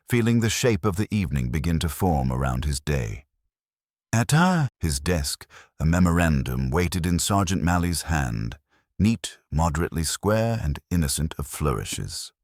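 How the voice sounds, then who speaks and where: A man narrating a detective story in a measured, dry, formal storytelling manner. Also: rumbling low, dry recording. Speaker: audiobook narrator.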